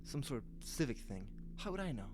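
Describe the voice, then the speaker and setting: Audio drama part: man as unconcerned local fellow, mid-pitched, brushing things off casually, over clearly audible ambient noise. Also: big pitch swings, brisk, natural voice. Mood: dismissive, casual. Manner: casual, dismissive. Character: unbothered, dismissive small-town men, brushing off worries.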